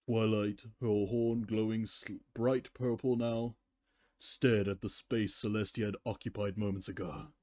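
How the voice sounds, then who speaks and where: Slow, mellow man reading a story aloud. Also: fairly low pitch, little pitch movement, dry recording. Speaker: story reader. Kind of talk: live dramatic reading of fan fiction.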